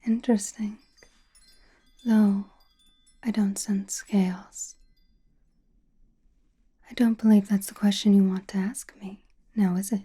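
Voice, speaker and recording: An enigmatic sorceress of chaos magic, acted out by a woman for an ASMR roleplay, whispers in a very dark, soft tone. Her delivery is slow and deliberate, breathy and cryptic, as if confiding in one listener. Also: mid-range voice, level tone. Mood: cryptic, probing.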